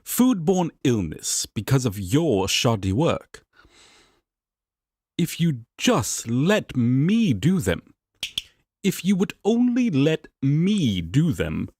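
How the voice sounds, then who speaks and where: A man acts out a fussy, caring angel roommate in an audio roleplay. His husky voice rises and falls dramatically as he picks out his words slowly. The delivery is formal and a little disapproving, close and dry. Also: medium pitch, wildly swooping pitch, a little mellow, dry recording, full character voice. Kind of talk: fan audio roleplay acting.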